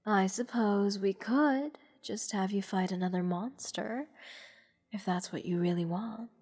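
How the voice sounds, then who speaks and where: A woman playing a haughty, playful goddess who teases a captive mortal in an unhurried, condescending manner, in a roleplay audio drama. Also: mid-range voice, a little mellow, natural voice. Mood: coaxing, condescending. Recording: long hall reverb. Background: music.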